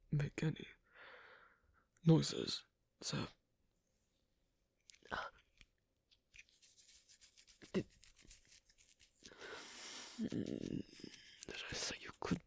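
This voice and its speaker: A performer voicing a guarded, shyly affectionate werewolf on a date in an ASMR roleplay, speaking in a husky, breathy whisper with a halting, hesitant delivery. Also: mid-range voice, no echo, full character voice. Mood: flustered, defensive. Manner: halting, soft-spoken, hesitant. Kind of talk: ASMR roleplay, one-sided intimate conversation.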